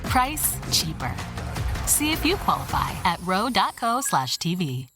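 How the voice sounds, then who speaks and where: A woman's voice: on the low side, sing-song, a little slow. Salesy woman doing an ad, bouncy voice, music going underneath. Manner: punchy, salesy. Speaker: ad announcer. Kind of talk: scripted commercial read.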